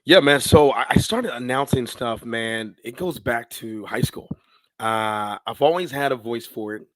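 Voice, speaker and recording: A man's voice: medium pitch, unhurried, dry recording. An American man, around his thirties, telling stories casually in an interview, slangy and acting out bits of dialogue as he goes. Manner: animated, slangy, acts out his own past thoughts and dialogue. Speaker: podcast guest, sports public address announcer.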